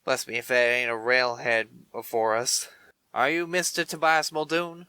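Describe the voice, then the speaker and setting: A man's voice: medium pitch, fairly even intonation, normal speed, pushed and bright, smooth, no room sound, lightly acted. A man playing a blunt Old West wagon driver with folksy, exclamatory talk in a comic dub. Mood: grumbling, surprised.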